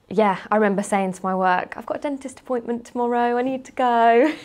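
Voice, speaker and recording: A woman in her twenties with a British accent, speaking informally and telling anecdotes as a guest in a casual interview. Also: medium pitch. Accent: British English. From grew up partly in Spain, lives in the UK. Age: 20s. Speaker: interview guest, marketing manager.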